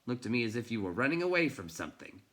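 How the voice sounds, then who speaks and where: A man voicing a cross, gruff old storybook bookseller who grumbles and scolds with blustery scorn. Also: medium pitch, big pitch swings, quick pace, fairly bright tone, voice pushed up. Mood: suspicious, gruff. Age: older. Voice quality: gruff, growling.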